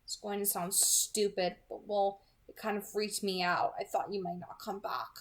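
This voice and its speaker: A woman's voice: medium pitch, little pitch movement, moderate pace, natural voice. A woman voicing an earnest, insecure, lovestruck teenage boy in a novel, speaking hesitantly. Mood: anxious, hesitant.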